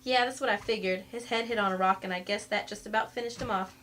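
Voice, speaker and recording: A woman's voice: mid-range voice, fairly even intonation, fast talker, a bit bright, natural voice. A woman playing a small, brazen, slangy female murder suspect in a radio mystery. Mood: feigned innocence. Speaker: amateur voice actor in an audio mystery. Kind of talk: scripted radio mystery acting.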